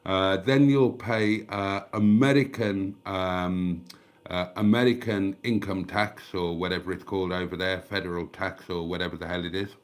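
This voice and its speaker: A man's voice: low voice, steady tone, moderate pace. An older man with a British accent hosting a casual livestream from home, congested with a cold and coughing, calling his viewers darlings in a rambling, self-mocking way. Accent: British English. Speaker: YouTube livestream host. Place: at home, near the fridge. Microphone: desk microphone.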